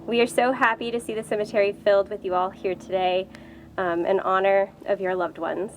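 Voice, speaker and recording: A woman's voice: mid-range voice, steady tone, brisk, a little hiss or hum, rough recording. A woman giving an informal welcome speech outdoors to a gathering. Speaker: cemetery staff member giving welcome speech.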